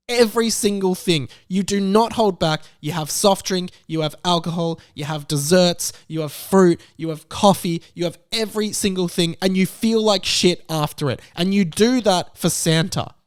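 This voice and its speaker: A man's voice: high-pitched, clear, resonant tone, dry recording, studio quality. A young man with an Australian accent giving emphatic, exasperated, comically indignant commentary. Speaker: YouTube commentator. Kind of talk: ranting commentary on a news story.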